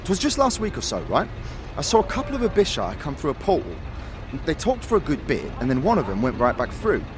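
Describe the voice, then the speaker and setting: A man's voice: on the high side, wildly swooping pitch, a little fast, background noise, voice pushed up. A man voicing a friendly, easygoing teenage boy smith who talks in street slang in a fantasy audio drama. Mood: friendly, chatty. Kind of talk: audio drama character acting.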